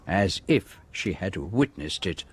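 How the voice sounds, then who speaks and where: Belgian detective, French-speaking accent, lowish pitch, measured, exact, radio drama. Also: male voice, big pitch swings, breathy edge, heavily acted. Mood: measured, assured. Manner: measured, precise, formal explanation. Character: shrewd, precise, theatrical Belgian detective, middle-aged man.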